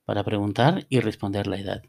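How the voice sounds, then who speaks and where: A man teaching a Spanish lesson on a podcast, reading example phrases slowly and deliberately. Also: fairly low pitch, gravelly, darkish tone. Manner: slow, deliberate, reads model dialogues aloud. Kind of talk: scripted language lesson podcast.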